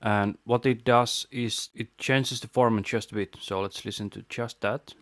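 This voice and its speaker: A man presenting an audio tutorial, casually explaining a software setup step by step into a close microphone. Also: mid-range voice, steady tone, normal speed, no echo.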